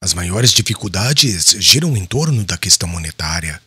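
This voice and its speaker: An actor playing a devout, compassionate male apostle and church leader in an audiobook drama, solemn and earnest, with a husky voice. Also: low voice, very animated, normal speed, bright, forceful tone, dry recording, clean studio sound, full character voice. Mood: earnest, explanatory.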